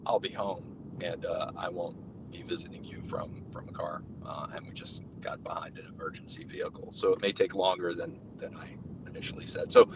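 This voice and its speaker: Male host, low voice, rambling jokey patter, far from the mic with clear road rumble behind. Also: steady tone, fairly quick. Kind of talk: casual chat. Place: passenger seat of a moving car. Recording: distant mic with road noise. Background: car and road rumble.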